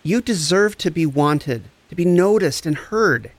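An actor playing a gentle, encouraging empath therapist, speaking in a reassuring, deliberate way in a scripted audio drama. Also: male voice, a little high, expressive, no room sound. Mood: tender, encouraging. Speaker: actor in a fiction podcast.

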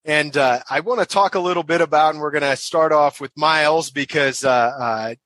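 A man's voice: fairly high pitch, normal speed, fairly bright tone. A man hosting a professional podcast, introducing guests with many fillers and restarts. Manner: hesitant, frequent uh fillers and repeated words. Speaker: podcast host, association director.

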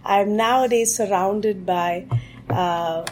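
Woman, tech exec guest, mid pitch, thinks out loud with lots of uh's. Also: slow pace, soft background music. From India. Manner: thoughtful, with frequent "uh" fillers. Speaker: technology executive guest. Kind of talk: interview answers.

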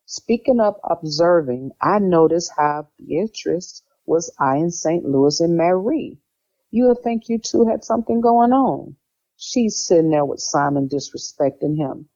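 Sassy young sister acted by a woman, low pitch, dull recording, music. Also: lively intonation, a little slow, mellow. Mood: gossipy, scornful. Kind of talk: audio drama dialogue. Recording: slightly dull audio, music underneath.

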